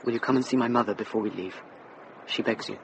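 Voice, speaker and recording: A young actor playing an earnest, well-bred youth, grateful and eager, in a radio drama. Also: male voice, medium pitch, fairly even intonation, fast, creaky, lo-fi sound, slight background noise, close to an everyday voice. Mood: shy eagerness. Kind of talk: British radio drama acting.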